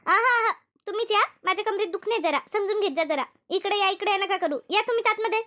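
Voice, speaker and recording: Woman voicing a shrill, grumbling cartoon village housewife. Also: fast, bright, forceful tone. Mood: bossy, complaining. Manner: colloquial, repetitive, grumbling. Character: Shanta, complaining rural Marathi housewife and mother. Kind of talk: comedy cartoon dubbing, everyday domestic chat.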